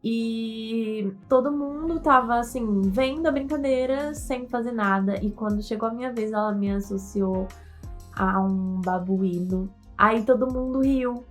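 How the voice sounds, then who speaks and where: A woman's voice: on the high side, measured pace, soft background music. An eighteen-year-old woman, a university student, telling her story in a conversational way and sometimes searching for words. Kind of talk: personal testimony to camera.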